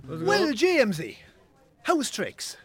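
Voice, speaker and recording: An actor with an Irish accent playing a smug poker ringleader in a radio drama, mock-friendly and needling. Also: male voice, very high-pitched, huge pitch swings, slow pace, heavily acted. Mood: jovial. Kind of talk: naturalistic radio drama acting.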